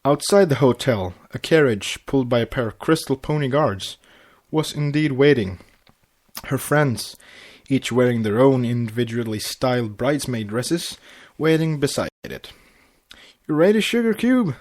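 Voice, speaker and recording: A man with a mid-pitched voice reads fiction aloud. He narrates in a storybook style, as a podcast host sharing a tale. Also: normal speed, darkish tone. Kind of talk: storybook narration with acted dialogue.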